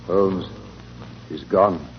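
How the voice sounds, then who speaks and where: An actor playing an excitable, earnest, proper gentleman, a detective's sidekick, in old-fashioned 1940s radio style. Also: low-pitched, very slow. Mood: grave. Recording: noisy, dull 1940s radio recording.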